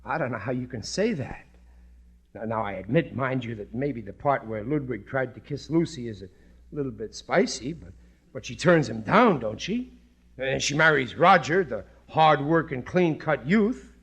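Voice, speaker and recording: An actor playing a bumbling, excitable working-class husband in a 1950s radio comedy, earnest and thick-headed, stammering and blustering with rough grammar. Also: male voice, medium pitch, moderate pace. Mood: flustered. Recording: noisy vintage 1950s radio broadcast.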